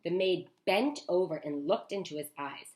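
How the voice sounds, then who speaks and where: A woman teacher reading a storybook aloud to children, expressive, with chatty asides. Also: lowish voice, normal speed. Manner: animated, with chatty asides to young listeners.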